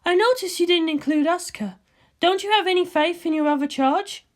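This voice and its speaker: A man voicing a cool, sensible woman scientist with a measured, dryly chiding manner in a fan fiction reading. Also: very high-pitched, voice pushed up.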